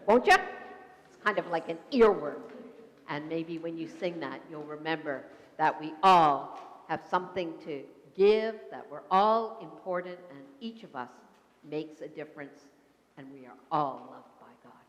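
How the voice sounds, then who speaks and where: Female minister, lower register, gentle children's-time delivery, reverberant sanctuary sound. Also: lively intonation, measured pace. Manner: simple, gentle explanations, leading the congregation in call and response. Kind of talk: children's talk during a worship service. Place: church sanctuary. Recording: echoey church sanctuary.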